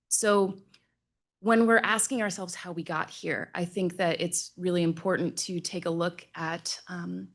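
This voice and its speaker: A woman giving analytical remarks as a panelist, measured and often pausing. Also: lowish voice.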